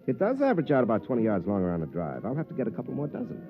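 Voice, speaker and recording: A stubborn, plain-spoken professional golfer in a vintage radio play. His mid-pitched voice moves widely up and down over terse lines, on a muffled fifties recording. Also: wildly swooping pitch, very fast, over loud music. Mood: easygoing. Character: plain-spoken male pro golfer, stubborn under pressure. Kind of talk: 1950s radio drama acting.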